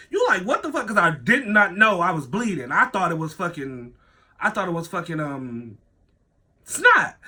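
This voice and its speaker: A young man with a stuffy nose, telling a personal story in a casual vlog, animated, full of slang and "like", swearing freely. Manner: animated, acts out his own thoughts in a higher voice.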